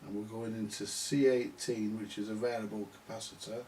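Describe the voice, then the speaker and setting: A man giving halting, unscripted commentary at a workbench, muttering to himself as he works things out. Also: fairly low pitch, little pitch movement, darkish tone. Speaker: vintage radio repair hobbyist. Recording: distant mic with background hiss.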